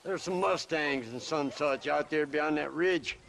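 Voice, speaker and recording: An actor playing a lazy, self-pitying old ranch hand with a gravelly voice and a rural Western American accent in a video game. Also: male voice, a little high, measured pace, a bit bright, slight background noise. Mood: grumbling. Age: older. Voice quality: creaky, gravelly. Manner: whiny, grumbling. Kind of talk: video game cutscene acting.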